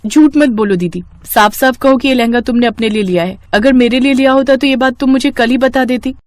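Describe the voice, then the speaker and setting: A woman's voice: on the high side, brisk, darkish tone, no echo, voice pushed up, lightly acted. A woman voicing a trusting, easily hurt younger sister, a college student, in an earnest, emotional manner for a story cartoon. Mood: indignant. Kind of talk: Hindi moral-story cartoon dialogue.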